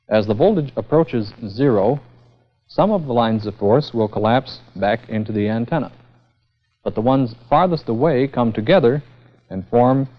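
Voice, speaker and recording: A man giving a formal, methodical scripted technical lecture as the instructor in a vintage educational film. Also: mid-range voice. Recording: dull, band-limited vintage 16mm film sound.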